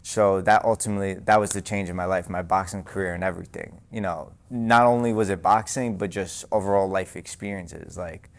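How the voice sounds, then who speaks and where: Young new boxer guy, deep gravelly voice, pretty flat, lots of "you know". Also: a little fast, few pauses. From New York. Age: young adult. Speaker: guest, amateur boxer. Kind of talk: casual interview. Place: boxing gym.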